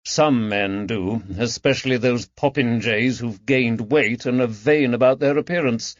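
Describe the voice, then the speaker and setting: A man voicing a composed, inquisitive detective in an audiobook, measured and probing. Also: medium pitch, no echo, muffled recording, close to an everyday voice. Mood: calm, wry. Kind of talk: audiobook character dialogue.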